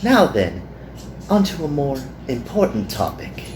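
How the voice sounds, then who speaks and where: A man's voice: medium pitch, huge pitch swings, voice pushed up. Man putting on a haughty, teasing seductress in roomy echo. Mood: probing. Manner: taunting, condescending, drawn-out. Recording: roomy echo, some background noise.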